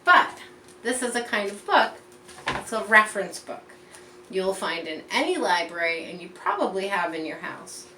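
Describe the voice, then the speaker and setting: A woman reading a picture book aloud to children in an expressive, teacherly way, pausing to explain and point things out. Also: medium pitch. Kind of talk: read-aloud storytelling with explanatory asides. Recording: roomy echo, distant mic, faint background noise.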